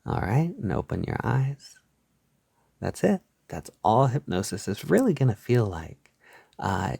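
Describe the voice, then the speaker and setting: A man leading a soft-spoken guided hypnosis, soothing and reassuring, gently repeating his phrases on a close mic. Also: medium pitch, gravelly, no room sound. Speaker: hypnosis audio creator guiding the listener.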